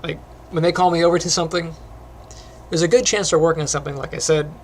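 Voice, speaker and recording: A middle-aged man who teaches animation, chatting casually while he works, with a phlegmy voice, frequent throat clearing and lots of ums. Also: fairly high pitch, fast, slight background noise. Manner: relaxed, rambling, many ums. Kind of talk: casual screen-recorded tutorial chat.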